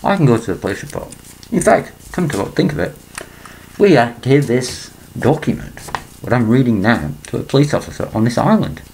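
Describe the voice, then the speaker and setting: A man's voice: mid-range voice, big pitch swings, fairly quick, vocal fry, a little mellow, a little hiss or hum, poor audio. A man reads a letter aloud in a small room at home, breaking off often into rambling asides. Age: 60s. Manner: rambling, breaks off his reading to comment. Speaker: vlogger.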